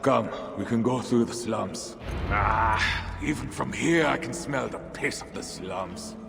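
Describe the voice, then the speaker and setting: An actor playing a brash, scornful Viking warrior in a video game, gravelly and bluntly forceful. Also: male voice, medium pitch, slow, in a large hall, music in the background. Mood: gruff. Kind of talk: dramatic video game acting.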